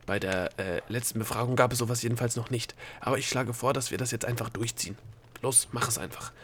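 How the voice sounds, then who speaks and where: A man's voice: mid-range voice, fairly even intonation, fast, fairly bright tone, natural voice. A man voicing a nervous, stammering gnome adviser who wheedles and hisses in an audiobook. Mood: hesitant, stammering. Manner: stammering, hesitant, often hissing and whispering. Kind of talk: audiobook character voice.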